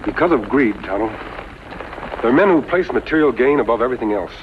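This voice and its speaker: A man's voice: medium pitch, expressive, fast, close to an everyday voice. An actor playing an earnest, righteous masked lawman in a 1950s radio western, speaking precisely and declaiming. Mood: earnest, resolute. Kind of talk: 1950s radio drama acting. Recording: muffled, hissy old radio recording.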